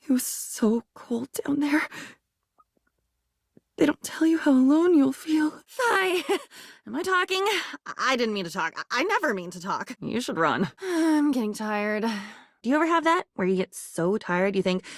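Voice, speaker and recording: A woman's voice: fairly high pitch, dry recording, studio quality, full character voice. A woman voicing an anxious, lonely living diary in a video game, rambling and disjointed, lurching between moods and volumes in a theatrical way.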